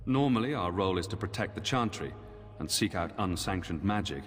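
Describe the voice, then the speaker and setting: A man voicing a weary, dour Templar knight commander with formal, measured speech in a fantasy video game. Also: sits low, animated, soft background music. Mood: weary, resigned. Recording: long echoing hall reverb.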